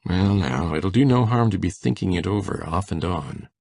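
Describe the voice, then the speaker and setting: A man playing a gentle, proud older farmer with a gravelly voice and plain folksy phrasing in an audio drama. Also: sits low, lively intonation, normal speed, dry recording, clean studio sound, full character voice. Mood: quietly proud.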